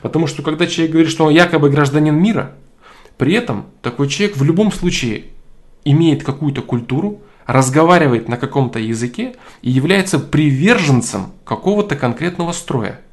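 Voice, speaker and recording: A man giving an opinionated political monologue, stressing points by repeating them and pausing often on hesitation sounds. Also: on the high side, lively intonation. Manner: emphatic, repeats key phrases, frequent hesitation fillers.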